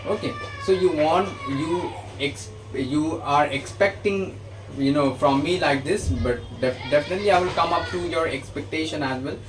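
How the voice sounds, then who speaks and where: An English teacher with an Indian English accent explains grammar, often stammering and restarting his sentences. His voice sits a little high, and he sounds set back from the mic. Steady background noise runs under the recording. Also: moderate pace, far from the mic. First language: Hindi. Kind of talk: bilingual grammar lesson.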